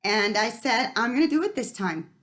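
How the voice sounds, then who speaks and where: A woman's voice: fairly high pitch, animated, moderate pace, fairly bright tone. A woman from Guatemala, a fitness company founder, telling her personal story in an earnest, reflective way with frequent ums and restarts. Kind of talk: personal story told to camera.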